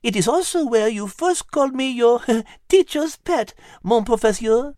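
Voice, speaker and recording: A woman's voice: on the high side, expressive, measured pace, dark tone, no room sound, studio quality. A woman voicing a refined, clever female cat with a French accent and elegant, precise manner in an audio storybook. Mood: delighted, fond. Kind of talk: acted audio storybook dialogue.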